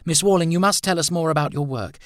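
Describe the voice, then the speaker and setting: A man's voice: a little high, fast talker, fairly bright tone, dry recording, studio quality, voice pushed up, close to an everyday voice. A man voicing a polite upper-class hostess who speaks in an eager, gracious way.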